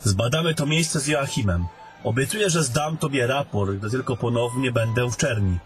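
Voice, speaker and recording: A man's voice: medium pitch, moderate pace, slight background noise, lightly acted. A man playing a dutiful young captain in a fantasy audio drama, speaking with polite, old-fashioned formality. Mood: dutiful, grateful. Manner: polite, formal, archaic courtesy. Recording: background music under the voice.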